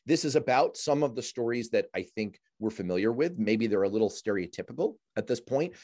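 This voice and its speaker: A man hosting a radio talk show, introducing his guest in a measured, courteous way. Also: mid-range voice, animated, darkish tone. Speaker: radio and podcast host. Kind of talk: radio interview.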